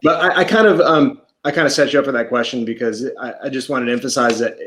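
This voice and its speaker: Man interviewer, chatty, rambling, jokey, medium pitch, video call. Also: quick pace, a bit bright, a little hiss or hum. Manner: chatty, rambling, keeps making jokes. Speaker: interviewer for a comedy theater. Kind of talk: casual interview.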